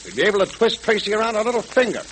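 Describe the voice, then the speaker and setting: An actor in a vintage radio serial playing a blustering, scheming gang boss with tough, emphatic gangster talk. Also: male voice, high-pitched, sing-song, very fast, a bit bright. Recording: crackly old radio recording.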